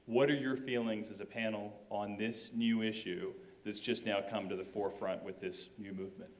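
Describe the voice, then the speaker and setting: A man from the audience posing a formal, measured question to a panel. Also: fairly low pitch, steady tone. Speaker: audience member asking a question. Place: auditorium.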